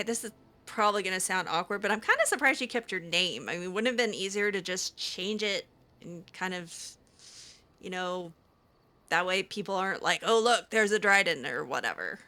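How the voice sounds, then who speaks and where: A woman's voice: medium pitch, bright, forceful tone. A woman improvising in-character roleplay, hesitant and full of ums. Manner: hesitant, with frequent ums and restarts. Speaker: roleplaying game player. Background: faint game music.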